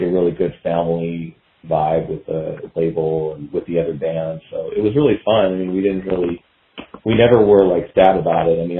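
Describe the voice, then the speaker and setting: Male interview guest, very low voice, casual and meandering, roomy lo-fi recording. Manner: hesitant, meandering, filler-heavy. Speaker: guest musician, guitarist. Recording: dull lo-fi roomy call sound.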